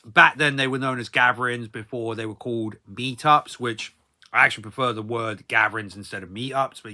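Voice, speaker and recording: A man's voice: medium pitch, bright, forceful tone. A man in his thirties telling a casual, rambling personal story as a vlogger, hesitant and repetitive, often tailing off into tag phrases.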